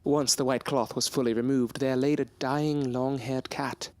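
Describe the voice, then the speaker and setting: A man's voice: medium pitch, fairly even intonation, moderate pace, darkish tone, no echo, close to an everyday voice. Earnest, courteous young student, played by a man. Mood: somber, wondering. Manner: formal, old-fashioned, courteous wording. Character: earnest, polite young male student, gothic tale narrator. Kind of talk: gothic audio drama acting. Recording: music under the voice.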